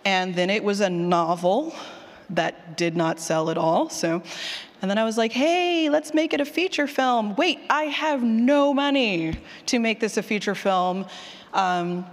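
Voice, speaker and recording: A woman giving a casual, candid talk as a presenter, animated and self-deprecating, often acting out her own thoughts. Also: medium pitch, measured pace. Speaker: presenter, fiction podcast writer. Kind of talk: casual conference talk with audience questions. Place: indoor session room with long reverb. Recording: reverberant hall.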